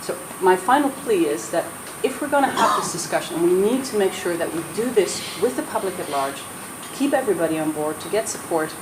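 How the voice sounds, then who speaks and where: Dutch woman, american accent, earnest panel talk in an echoey hall, clear noise behind. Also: on the low side, lively intonation, fairly quick, few pauses. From Netherlands, raised in the US. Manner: earnest, persuasive, many restarts. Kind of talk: roundtable speech.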